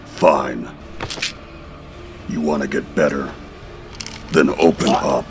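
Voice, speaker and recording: A man's voice: a bass voice, slow pace, a little hiss or hum. A man voicing a cold, grim masked male vigilante in a fan-dubbed motion comic, speaking in terse, clipped lines. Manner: terse, clipped, flat menace.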